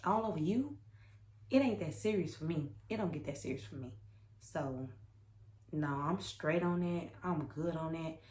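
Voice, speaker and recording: A woman's voice: deep voice, normal speed, darkish tone. A young woman telling a personal story in a casual, sassy, emphatic way, repeating phrases to drive her point home. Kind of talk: casual storytime chat to camera. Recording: roomy echo.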